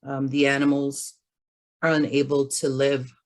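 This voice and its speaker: Low-voiced hoarse woman presenting carefully. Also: level tone. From James Bay area, northern Canada. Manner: careful, hesitant, many ums and pauses. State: hoarse from laryngitis. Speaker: guest presenter. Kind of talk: webinar presentation. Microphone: video call.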